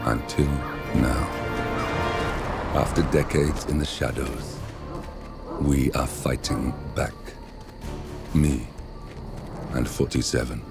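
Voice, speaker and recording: A grim, gravelly avenger. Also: male voice, a bass voice, steady tone, loud music behind the voice, exaggerated voice. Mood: solemn. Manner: slow, solemn, measured. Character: grim, vengeful man, former assassin partner. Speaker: narrator of the game intro. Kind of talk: video game cutscene voice acting. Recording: game cutscene with loud score.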